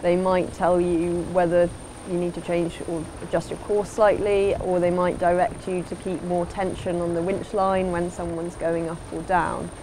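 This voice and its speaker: A woman's voice: on the low side, flat delivery, moderate pace, few pauses, noisy background. A woman giving an instructional voice-over as a sailing instructor, in a plain, explanatory way.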